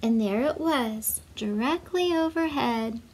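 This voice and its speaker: A woman reading a children's story aloud in an expressive, measured way, with asides to young listeners. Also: fairly high pitch. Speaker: storybook reader for schoolchildren.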